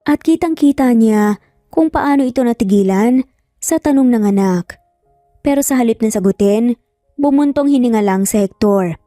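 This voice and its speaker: A woman's voice: on the high side, normal speed, dark tone, dry recording, clean studio sound. A woman giving a scripted, dramatic narration as a storyteller. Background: music.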